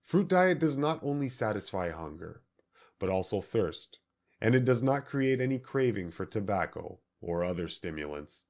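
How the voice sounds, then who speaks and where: Low-pitched man reading an audiobook. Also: very animated, normal speed, a little mellow. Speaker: audiobook reader. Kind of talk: scripted audiobook narration.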